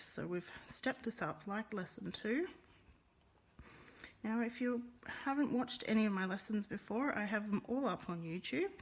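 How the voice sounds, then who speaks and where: Russian-accented woman, crafts teacher, friendly live-stream patter, rolled R's, mid-pitched. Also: husky. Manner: chatty, greets viewers, rolls her R's. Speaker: card-making teacher.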